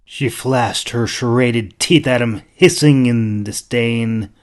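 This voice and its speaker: Male narrator, slow pace, dramatic fantasy storytelling, expressive, medium pitch, close dry recording. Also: dry recording. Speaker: audiobook narrator, author.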